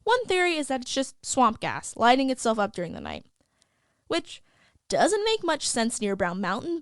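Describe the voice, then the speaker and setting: A woman's voice: a little high, no echo, clean studio sound. A woman playing a gossipy small-town after-school news reporter, narrating in a conversational, wry and inquisitive way. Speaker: reporter and host. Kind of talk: scripted fictional news narration.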